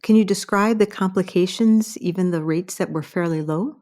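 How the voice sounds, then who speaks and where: A woman's voice: lowish voice, normal speed, a little mellow. A woman hosting a professional medical interview, putting questions to her guests. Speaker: interviewer, journal editor host.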